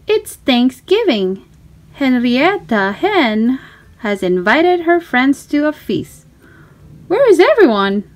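High, animated woman reading a storybook. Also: takes it slowly. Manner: expressive, sing-song questions and exclamations. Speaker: storytime read-aloud host. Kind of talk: animated picture book read-aloud for children.

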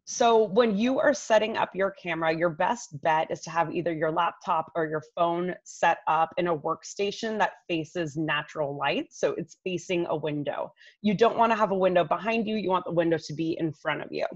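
A woman's voice: lowish voice, normal speed, few pauses. A woman in her thirties giving informal, explanatory expert advice straight to the listener on a video call from her living room. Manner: conversational, explanatory, addresses listener directly. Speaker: image coach giving expert tips. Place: living room at home, indoors. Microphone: laptop microphone on a video call. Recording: slightly dull, roomy webcam audio.

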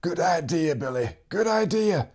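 A man's voice: on the high side, lively intonation, unhurried, darkish tone, husky, pitched above the performer's own voice. A man voicing a teasing, easygoing old farmer with a cracked, wheezy voice in a story reading. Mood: wry, amused. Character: sly old male farmer, teasing, easygoing drinking pal.

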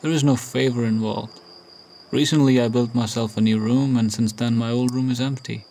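A man playing a solitary, brooding recluse in a radio drama, speaking formally and bluntly in measured phrases. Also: mid-range voice, fairly even intonation, warm tone, lightly acted. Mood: blunt, reserved. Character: solitary, brooding man who shuns modern life.

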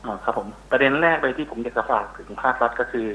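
Middle aged guy expert on a phone interview, sharp bright voice, explaining stuff, bit hesitant. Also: medium pitch, quick pace, low-quality recording. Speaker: interview guest, university researcher. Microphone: telephone call.

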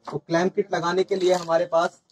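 A man's voice: high-pitched, monotone, a little fast, poor audio. A man giving a hands-on tutorial, explaining step by step in a small indoor room. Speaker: presenter of a motorcycle gear channel. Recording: faint background noise with clicks.

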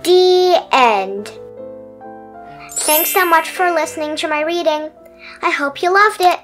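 A woman hosting a children's read-aloud, presenting a picture book in an expressive style. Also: extremely high pitch, slow, soft background music. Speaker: children's story reader and host.

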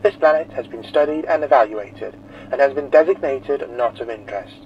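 A man's voice: mid-range voice, fairly quick. A man voicing a dry, formal spacecraft crewman reading out a matter-of-fact warning through a radio effect. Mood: flat official. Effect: radio transmission filter. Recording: muffled, noisy radio message.

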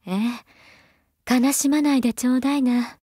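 High-voiced, doting, seductive mother figure. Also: steady tone, a little slow, mellow, no room sound, clean studio sound. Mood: tender, soothing. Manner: coaxing, breathy-whispering at times, archaic feminine phrasing. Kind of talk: Japanese mobile game character voice lines.

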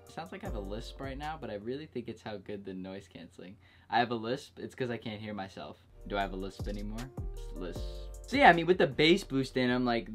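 A man's voice: medium pitch, a bit bright. Chatty young man reviewing gadgets. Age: young adult. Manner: chatty and jokey, lots of "go ahead" and "like". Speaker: tech reviewer, channel host. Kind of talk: casual product review vlog. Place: bedroom, seated on a couch.

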